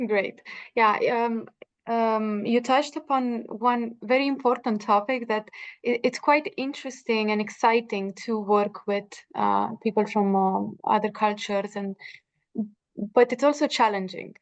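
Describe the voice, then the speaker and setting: A woman moderating a webinar informally, with hesitant, halting phrasing. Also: a little high, steady tone. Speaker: panel moderator. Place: online webinar call. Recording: dull, muffled online call audio.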